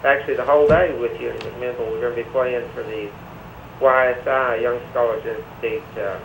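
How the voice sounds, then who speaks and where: A man from Middle Tennessee, talking about his music in a relaxed, casual way with many pauses and "uh" fillers. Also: mid-range voice, gravelly, background noise. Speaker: musician guest. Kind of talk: casual interview answers. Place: remote link to a TV studio.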